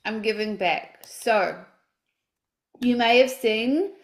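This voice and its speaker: A woman in her forties speaking in a slightly higher register, chatty and upbeat as she talks to her livestream viewers. She coaches people and rambles warmly through restarts and fillers. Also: takes it slowly, a little hiss or hum. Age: 40s. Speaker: life coach. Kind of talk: casual livestream talk.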